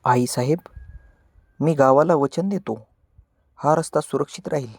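A man's voice: on the high side, a little slow, no room sound. A man plays a brave young boy hero of a children's cartoon tale. He speaks firmly and earnestly, like someone who stands by his principles, in a close, dry recording. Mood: resolute. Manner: firm, earnest.

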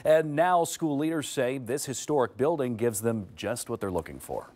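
A young man delivering a TV news report in a studio and in voice-over. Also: medium pitch. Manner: lively, measured news delivery.

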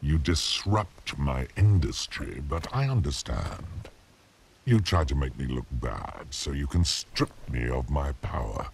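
A man voicing a cruel demon emperor in a video game, gravelly and very deep, with grandiose, contemptuous pronouncements. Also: lively intonation, a little hiss or hum, full character voice. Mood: cold menace. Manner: slow, grandiose, theatrical pronouncements. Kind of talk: video game villain acting.

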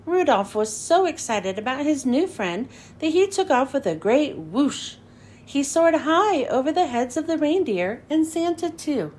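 A woman reading a children's storybook aloud in an expressive, animated, emphatic way. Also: sits high, unhurried, a little hiss or hum. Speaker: children's book reader.

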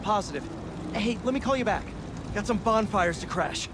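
Animated wisecracking young superhero. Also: male voice, high voice, normal speed, noisy background. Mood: upbeat, wry. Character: wisecracking young male superhero, earnest and friendly. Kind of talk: video game voice acting. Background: game soundtrack.

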